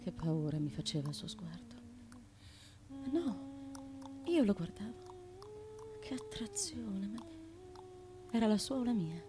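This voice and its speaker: An actress playing a tormented, dutiful daughter and tyrant's wife of ancient Greece in a feverish, halting radio monologue. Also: female voice, on the high side, big pitch swings, noisy background. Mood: pleading, submissive. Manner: feverish, halting, repeating words, swinging between hush and intensity.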